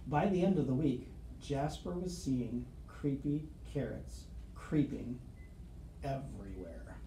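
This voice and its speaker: A middle-aged man reading a children's picture book aloud expressively, with suspenseful pauses and asides to young listeners. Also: medium pitch. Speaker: school storyteller reading to children. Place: small office, seated at desk. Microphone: camera across the desk. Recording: roomy office sound, faint background noise.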